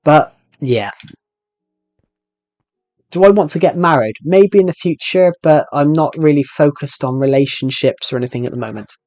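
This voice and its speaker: A man in his twenties with a thick British accent talks through a live game stream, tripping over his own sentences as he answers viewer questions. His voice sits slightly higher than most men's. The sound is dry and close, with no room echo. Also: normal speed, darkish tone, soft background music. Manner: rambling, restarts sentences while answering chat questions. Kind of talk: casual live stream chat.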